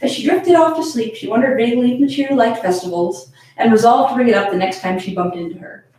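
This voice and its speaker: A woman's voice: on the high side, quick pace, a little mellow. A giggly woman hosting a fan fiction reading, moving between dramatic narration and casual banter. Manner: giggly, breaks into laughter while reading. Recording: very echoey room, distant from mic.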